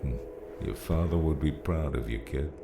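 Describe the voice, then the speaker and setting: An actor playing a gruff, fatherly old mentor, weary and wise, in a slow audiobook drama performance with a gravelly voice. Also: very deep voice. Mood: fond, wry. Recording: music behind the voice.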